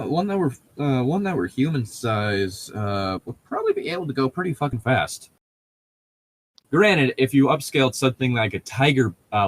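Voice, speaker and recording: A man chatting casually as a podcast co-host, explaining things in a nerdy way and often restarting his sentences. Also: mid-range voice. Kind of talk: casual chat.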